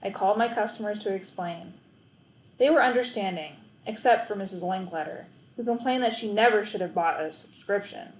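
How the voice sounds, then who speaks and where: A woman's voice: medium pitch, fairly quick. A woman narrating a children's novel aloud as a storybook reader. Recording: echoey, roomy sound.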